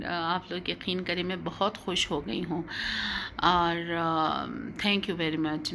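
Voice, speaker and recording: A woman's voice: on the low side, fairly bright tone. A middle-aged woman narrating a casual home vlog in a hesitant way, often repeating her phrases. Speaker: vlogger. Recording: clear background noise, home ambience.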